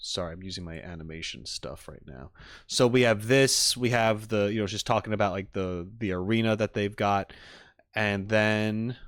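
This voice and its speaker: Low-voiced man doing enthusiastic reaction commentary. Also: moderate pace, a bit bright, no echo, studio quality. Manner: enthusiastic, analytical, rambling. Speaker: streamer host. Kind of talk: casual reaction commentary to live chat.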